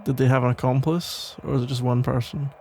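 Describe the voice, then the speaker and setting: A man playing a protective, worried police officer and ex-boyfriend in an ASMR audio roleplay, talking in a halting, casual, gently exasperated way with a gravelly voice. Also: medium pitch, flat delivery, slight background noise, close to an everyday voice. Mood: calmer, inquisitive. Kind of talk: ASMR roleplay, conversational one-sided acting.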